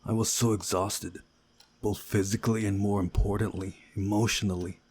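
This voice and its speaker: A man reading a visual novel aloud as narrator, in a measured storytelling way with a husky voice. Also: on the low side, little pitch movement, a little mellow. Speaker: gaming video narrator.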